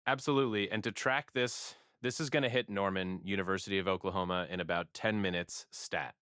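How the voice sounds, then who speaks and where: A man's voice: sits low, steady tone, brisk, a bit bright, smooth, no echo, clean studio sound. A man as an urgent TV meteorologist giving live storm coverage. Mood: urgent, serious. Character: urgent male TV meteorologist tracking a dangerous storm.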